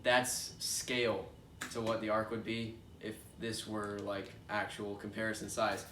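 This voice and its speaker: A man's voice: fairly low pitch, little pitch movement, measured pace. A young man with a congested voice, chatting casually as he unboxes a toy, rambling with lots of fillers. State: congested from allergies. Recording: echoey room, distant mic, noisy background.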